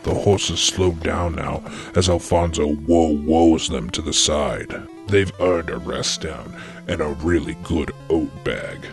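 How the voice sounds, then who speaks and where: A man narrating a scripted comedic adventure story in a deadpan manner. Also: a bass voice, takes it slowly, faint music behind.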